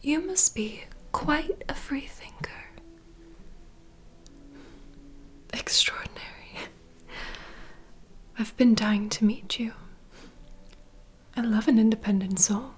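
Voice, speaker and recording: Slow-whispering woman as poised vampire bartender. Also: a little high, husky. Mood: intrigued, welcoming. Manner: unhurried, courteous, softly teasing. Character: poised, welcoming female vampire bartender, proud mixologist. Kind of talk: whispered ASMR roleplay.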